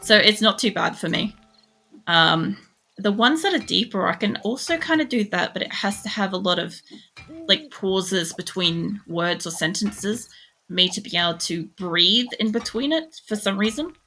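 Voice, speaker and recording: A young woman streaming a game and chatting casually to her viewers, mostly flat in tone, rambling with lots of "like" and the odd swear. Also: moderate pace, soft background music. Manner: mostly monotone, jumps much higher when excited.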